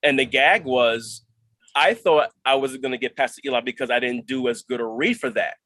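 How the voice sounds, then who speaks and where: Bright-voiced man, dry sound. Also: medium pitch, normal speed, dry recording. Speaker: stage actor, interview guest. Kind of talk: reflective interview talk.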